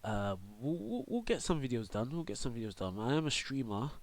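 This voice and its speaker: A man's voice: mid-range voice, sing-song, fairly quick. A young man with a cold, congested, casually walking through a game tutorial full of fillers and restarts. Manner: rambling, many fillers and restarts. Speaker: gaming YouTuber and streamer.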